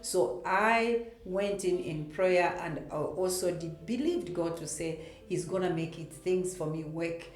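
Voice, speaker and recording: A middle-aged woman from Zambia tells her story as a guest in a casual interview, with a fairly low voice. She talks in an easy, storytelling way. The sound carries a roomy echo. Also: unhurried, hardly any pauses. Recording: echoey room.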